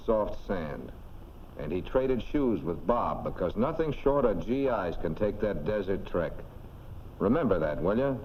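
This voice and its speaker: Male narrator, 1940s instructional film, fairly low, punchy folksy delivery, dull and slightly noisy audio. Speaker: narrator of a military training film.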